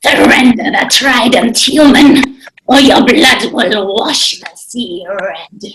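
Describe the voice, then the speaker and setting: A woman's voice: fairly high pitch, slow pace, a bit bright. A woman playing a commanding, menacing sea empress in a screenplay table read. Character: imperious, threatening female sea empress. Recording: roomy echo, faint background noise.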